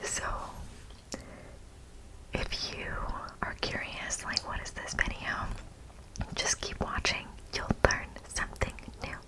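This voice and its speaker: A woman hosting ASMR in close whispers to the microphone, chatting casually and excitedly and often repeating words. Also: slow pace, pauses often, low-quality recording. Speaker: ASMR video host.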